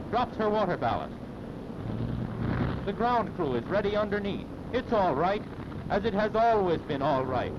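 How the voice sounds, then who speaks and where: High-pitched man, theatrical 1930s newsreel narrator. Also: very noisy. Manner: solemn, theatrical, declamatory delivery. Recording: dull 1930s film soundtrack with music. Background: music.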